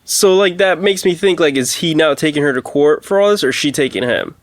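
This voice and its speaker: A man's voice: fairly high pitch, expressive, brisk, no room sound, clean studio sound. A man co-hosting a podcast in casual chat, giving blunt, informal opinions. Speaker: podcast co-host.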